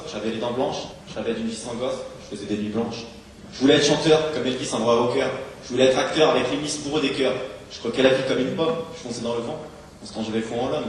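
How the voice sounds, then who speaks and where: Fast rhythmic male slam poet, echoey hall. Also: medium pitch. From France. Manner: rhythmic, declamatory delivery in rhymes. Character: embittered, washed-up homeless street drinker remembering his youth. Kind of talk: slam poetry recitation on stage, rhymed. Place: large theatre hall, live on stage. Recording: echoey hall, distant mic, faint noise.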